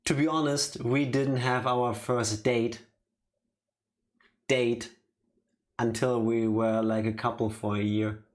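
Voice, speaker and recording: A man's voice: medium pitch, flat delivery, slow pace, pauses often. A man giving casual, teasing commentary as a let's play streamer, with a wry way of talking. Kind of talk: casual joking game commentary.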